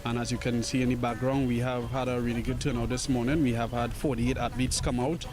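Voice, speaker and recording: A man, an athletics coach and camp presenter, explaining his program in a measured way with fillers, outdoors at a sports field. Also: medium pitch, flat delivery. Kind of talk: interview on camera.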